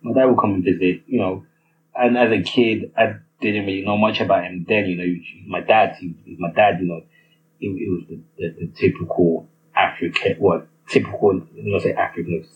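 A man's voice: low voice, fairly even intonation, normal speed. A young man with a Nigerian accent, telling a personal story in a hesitant monologue with many restarts. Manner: hesitant, lots of restarts and fillers. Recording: slightly muffled with faint ambient noise.

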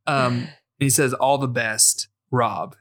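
Man, podcast host, casual chat, medium pitch, self-correcting delivery. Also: fairly even intonation, takes it slowly, a bit bright. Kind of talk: casual podcast chat, reading out a listener message.

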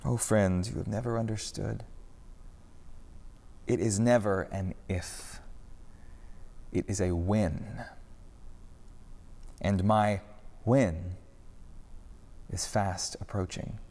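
A man voicing Jesus, a calm, patient male teacher who speaks in measured phrases, in a storybook reading. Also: fairly low pitch, vocal fry, long reverb tail, heavily acted. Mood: gentle.

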